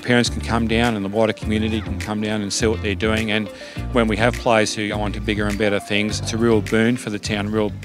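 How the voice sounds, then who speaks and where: A man's voice: on the low side, flat delivery, quick pace. A man giving an earnest interview at a youth tournament. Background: guitar music.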